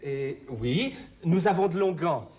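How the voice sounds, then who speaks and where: Patient, instructive pharmacist, a man with a high voice. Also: animated, measured pace, a little mellow. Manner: patient, instructive, mildly exasperated. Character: patient, fussy male pharmacist. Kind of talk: scripted comedy language sketch.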